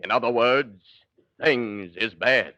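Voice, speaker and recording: A man's voice: medium pitch, slow. A man announcing scenes as a mock-dramatic narrator in 1940s radio style. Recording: dull, hissy 1940 radio recording.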